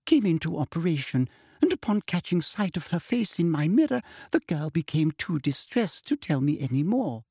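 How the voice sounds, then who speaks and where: Male reader playing a smug, sugary female official, raised pitch, soft and prim, audiobook. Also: sing-song, moderate pace, slightly rough, dry recording, clean studio sound, full character voice. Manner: sickly sweet, smug, impatient.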